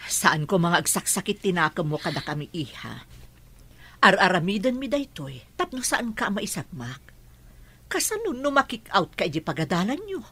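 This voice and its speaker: A woman's voice: fairly low pitch, big pitch swings, normal speed, sharp tone, husky, exaggerated voice. A woman acting an anxious mother and lady of the house in an Ilocano radio drama. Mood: soothing, concerned. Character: anxious mother and mistress of a mansion.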